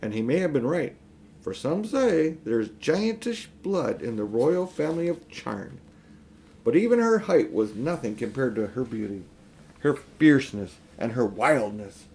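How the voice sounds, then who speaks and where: An older man narrating a children's storybook in an expressive, emphatic storytelling manner. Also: medium pitch, a little slow, vocal fry. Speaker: audiobook reader.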